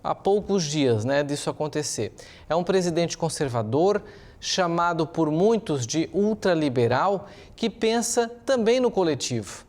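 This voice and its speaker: A man's voice: a little high, expressive, a little slow, a little mellow. A man with a Brazilian accent giving opinionated, explanatory news commentary as a journalist, speaking semi-improvised and posing rhetorical questions.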